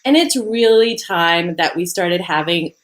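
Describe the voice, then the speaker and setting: A woman's voice: lowish voice, measured pace. A young woman co-founder talking frankly and passionately in casual conversation, swearing now and then. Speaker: co-founder of the platform.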